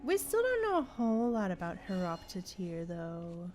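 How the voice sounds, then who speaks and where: A woman's voice: on the low side, huge pitch swings, moderate pace, darkish tone, faint music behind. A woman chatting casually as she streams a game, with a playful, exclaiming way of talking to viewers. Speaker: livestream host. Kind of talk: casual gameplay livestream chat.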